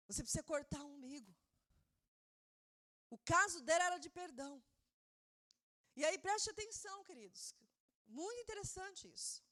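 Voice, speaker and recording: Woman pastor, non-native speaker, high voice, fervent sermon delivery with loud peaks. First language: not Portuguese. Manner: impassioned preaching, building to shouted emphasis; repeats words, stumbles and restarts while preaching.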